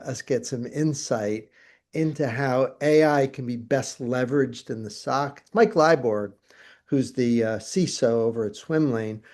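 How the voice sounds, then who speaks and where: A middle-aged man hosting an interview, asking chatty, rambling questions with frequent restarts. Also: medium pitch. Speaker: interview host.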